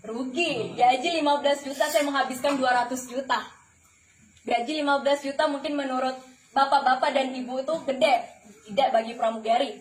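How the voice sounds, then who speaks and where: A woman's voice: sits high, fairly even intonation, brisk. A woman in her twenties giving a motivational testimonial to an audience, speaking emphatically with rhetorical questions and repetition. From the Philippines, Malaysia and Indonesia. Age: 20s. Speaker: flight attendant giving a testimonial. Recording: echoey, noisy room.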